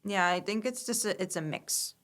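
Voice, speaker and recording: A woman's voice: medium pitch, normal speed, a bit bright. A woman whose first language is Hungarian, a podcast guest, answering hesitantly with many ums. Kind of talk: casual interview.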